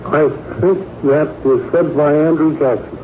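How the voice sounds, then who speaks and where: A man's voice: a little high, unhurried. A man giving unscripted answers as a panelist on a 1940s radio quiz. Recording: tinny 1940s radio broadcast, steady noise.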